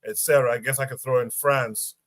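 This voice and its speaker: A man hosting a livestream podcast panel who reads posts aloud and pauses often with fillers. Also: mid-range voice, fairly even intonation, no echo, studio quality. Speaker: podcast host.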